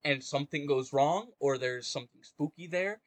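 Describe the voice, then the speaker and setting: A man's voice: on the high side, normal speed, no room sound. A man in a casual, argumentative chat about games, speaking emphatically and swearing freely. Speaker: podcast co-host.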